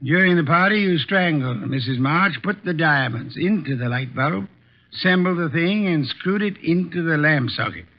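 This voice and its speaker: An actor playing a pompous, imperious, brilliant large detective in a theatrical old radio style. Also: male voice, a little high, expressive, moderate pace, lightly acted. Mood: commanding. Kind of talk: 1940s-50s radio acting. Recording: muffled old radio recording.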